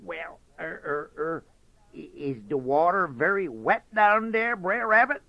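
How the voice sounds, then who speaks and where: Man doing a greedy dumb fox, way high squeaky voice, stammers, dull lo-fi dry. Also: lively intonation, a little slow, creaky, dry recording. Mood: eager, greedy. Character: greedy gullible male fox.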